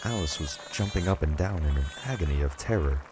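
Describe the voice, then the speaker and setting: A man's voice: very deep voice, animated, a little fast, background noise. A man reading scripted audiobook narration of a children's story.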